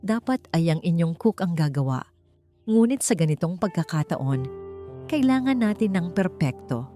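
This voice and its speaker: A woman's voice: on the low side, lively intonation, normal speed, warm tone, faint music behind, natural voice. Woman acting a haughty, mocking rich matriarch. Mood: cold, taunting. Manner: condescending, taunting, switches between Tagalog and English. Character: haughty, mocking rich matriarch, cold mother-in-law villain. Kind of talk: dramatic romance audio story.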